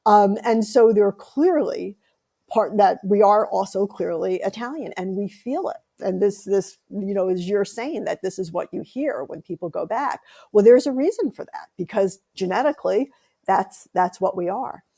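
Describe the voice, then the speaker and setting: A woman's voice: medium pitch, normal speed, creaky, mellow. An American woman author being interviewed on a podcast, speaking thoughtfully with frequent ums and restarts. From United States. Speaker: author and guest.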